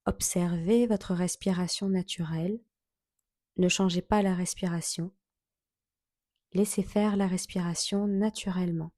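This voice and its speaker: A woman leading a guided yoga relaxation as an instructor, giving soothing, measured instructions with long pauses. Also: fairly low pitch, steady tone, warm tone, dry recording, studio quality.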